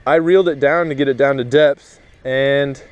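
A man's voice: medium pitch, normal speed, smooth, muffled recording. A young man casually talking to a head-mounted camera while fishing outdoors by a pond. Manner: relaxed, chatty, thinking aloud while casting. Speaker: fishing vlogger. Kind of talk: casual first-person commentary. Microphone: head-mounted action camera. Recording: windy outdoor action-cam audio. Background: wind noise.

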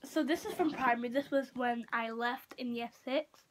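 A teenage girl from Portugal vlogging casually to camera in a room at home, talking in a halting way full of "like". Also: a little high, background noise. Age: teenager, about 15. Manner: halting, frequent "like" and trailing off. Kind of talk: casual chatty show-and-tell to camera. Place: small room at home, indoors. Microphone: built-in device mic at arm's length or a bit further.

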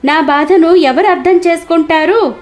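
A woman's voice: very high-pitched, normal speed, pitched above the performer's own voice. A woman voicing a weeping, long-suffering donkey that pleads for mercy in a cartoon moral story. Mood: sorrowful, self-pitying. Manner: plaintive, pleading lament.

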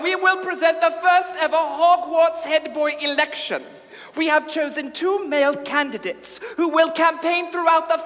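Grandiose comic-stage headmaster, declamatory and piercingly bright, recorded live in a reverberant hall. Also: male voice, very high-pitched, exaggerated voice. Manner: ceremonious, projecting, declamatory announcements. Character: grandiose, showy school headmaster addressing pupils. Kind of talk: comic staged musical reading. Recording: echoing hall, light noise.

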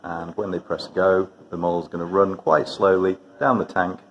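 A middle-aged man calmly explaining a model test run. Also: low voice, moderate pace, muffled recording, a little hiss or hum. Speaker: researcher narrating a towing tank test.

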